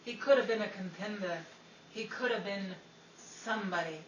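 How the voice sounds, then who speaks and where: A woman playing an excitable, aggrieved 1950s Hollywood actress, theatrical and emphatic, in the style of an old-time radio comedy. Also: mid-range voice, moderate pace, echoey room, distant mic, a little hiss or hum, natural voice, poor audio. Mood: dramatic pitching.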